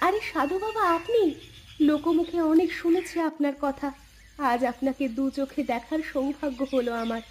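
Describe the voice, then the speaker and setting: Casting: actress as modest, kind-hearted rural wife for cartoon fable, high voice, reverent and earnest, faint background noise. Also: female voice, moderate pace, darkish tone, close to an everyday voice. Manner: respectful, earnest. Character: humble, devout, kind young village wife. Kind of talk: Bengali cartoon story voice acting.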